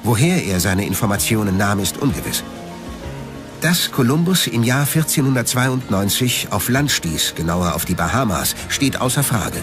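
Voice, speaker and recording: Guy narrating a documentary, lively intonation, music under it. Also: on the low side, normal speed. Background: background music.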